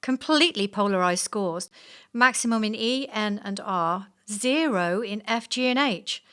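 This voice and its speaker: A woman playing a cautious, polite supervising examiner in a stage play, speaking in measured official phrases with quiet firmness. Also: medium pitch, expressive, clean tone. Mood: composed, dry.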